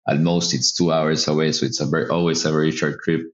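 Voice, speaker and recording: Man from el salvador, extremely deep voice, relaxed hesitant guest, slightly dull recording. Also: fast. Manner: relaxed, hesitant, many fillers. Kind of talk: casual interview answers.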